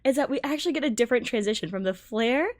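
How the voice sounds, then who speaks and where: A woman streamer giving excited, exclamatory and rambling reaction commentary on a game trailer. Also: fairly high pitch, rapid-fire. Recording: music playing behind the voice. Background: game trailer music and dialogue.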